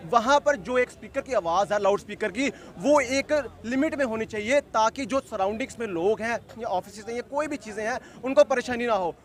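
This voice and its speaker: A man giving an insistent street interview, repeating phrases as he argues a political point. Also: high voice. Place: outdoors at a street protest.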